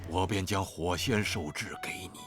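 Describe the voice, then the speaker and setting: An actor voicing a stern older male sect master in dubbed game dialogue, grave and measured. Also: deep voice, close to an everyday voice. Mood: solemn. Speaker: game character voice. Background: clear game music.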